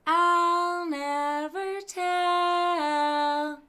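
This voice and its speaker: Woman putting on a high, sugary schoolteacher. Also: fairly even intonation, bright, forceful tone, soft background music, full character voice. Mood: secretive, singing. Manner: sing-song, drawn-out sweet delivery. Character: sweet-voiced woman schoolteacher, gentle and coy. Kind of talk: picture-book read-aloud for children.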